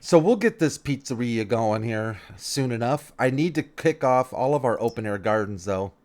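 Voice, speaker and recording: A man's voice: mid-range voice, expressive, moderate pace, a little mellow. A man giving casual let's-play commentary on a farming game, thinking aloud, rambling and often correcting himself mid-sentence.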